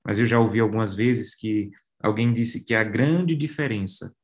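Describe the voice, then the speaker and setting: A man preaching a sermon to listeners, pressing his points with rising emphasis. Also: medium pitch. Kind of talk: radio sermon.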